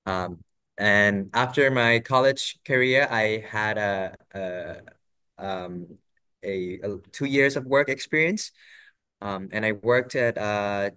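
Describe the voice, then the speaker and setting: Soft-spoken young man, smooth low voice. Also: slow, no echo, clean studio sound. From Thailand. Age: 20s. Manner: hesitant, many ums and restarts. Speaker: portfolio presenter. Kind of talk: casual presentation. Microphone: video call.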